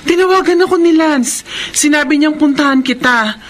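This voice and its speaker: A woman playing a bride's excitable, insistent, encouraging young friend in a radio drama. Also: high-pitched, moderate pace, natural voice. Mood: urgent, insistent. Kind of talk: Tagalog radio drama acting. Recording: noisy background, car-like ambience.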